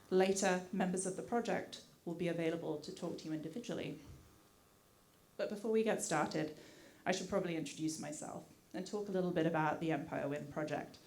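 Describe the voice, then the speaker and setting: Woman, British-tinged accent, lowish voice, community affairs director at a podium mic, polite and scripted. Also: a little mellow, a little hiss or hum. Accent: British with some American mix. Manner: polite, thankful, with frequent "uh" fillers. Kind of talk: prepared public remarks at a community meeting. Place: community meeting hall.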